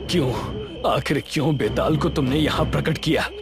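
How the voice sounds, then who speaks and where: A man's voice: on the high side, moderate pace, music in the background, pitched above the performer's own voice, lightly acted. A man voicing a determined, grieving young man in a horror story, earnest and accusing in manner. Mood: outraged.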